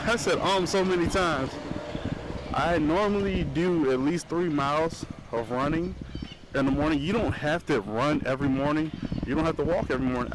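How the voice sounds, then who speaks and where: A young man records a casual vlog with clear noise around his voice. He has a middle-pitched voice and rambles informally to his viewers about his routine, like a fitness vlogger chatting to friends. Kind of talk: casual talk to camera, vlog. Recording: noisy amateur vlog audio.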